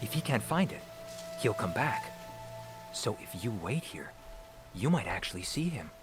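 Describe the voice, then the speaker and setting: A man's voice: on the low side, big pitch swings, moderate pace. A man playing an idle, philosophical scholar in a video game, an easygoing senior brother with a mock-wise manner. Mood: philosophical, reassuring. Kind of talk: video game character acting. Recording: loud music behind the voice.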